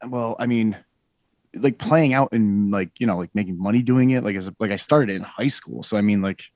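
Forty-something man, low and dry, rambling guest storyteller. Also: brisk, a little mellow, no echo. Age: 40s. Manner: rambling storyteller, many fillers and self-corrections. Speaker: guest musician. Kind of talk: casual podcast chat.

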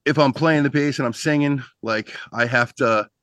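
A middle-aged man from Pennsylvania, a band's singer and bassist, chatting on a video call in a rambling way with many restarts. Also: moderate pace, fairly bright tone, no echo. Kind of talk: casual interview chat.

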